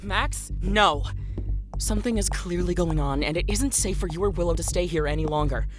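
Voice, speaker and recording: An actress playing a forceful, protective woman in an audio drama, urgent and demanding. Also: on the low side, moderate pace, over music, natural voice. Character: protective, forceful, sweary woman, fiercely determined.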